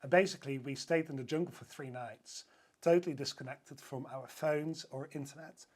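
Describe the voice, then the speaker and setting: A man's voice: on the high side, moderate pace, darkish tone. A man with a Dutch accent, chatting eagerly as a podcast guest with lots of fillers and restarts. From Netherlands. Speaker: hotel sales director, podcast guest. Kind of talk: casual interview chat.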